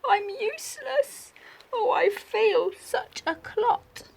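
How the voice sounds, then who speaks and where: A woman's voice: very high voice, lively intonation, slow, gravelly, voice pushed up, full character voice. A woman voicing an earnest, exclaiming young male giraffe from a children's storybook. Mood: dejected. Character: tall gangly young male giraffe, downhearted then joyful. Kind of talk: children's picture-book character reading.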